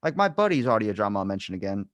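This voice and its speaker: A man's voice: on the low side, big pitch swings, rapid-fire, no room sound, dull audio. Rambling, emphatic male podcast host, dry close sound. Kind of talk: casual unscripted chat.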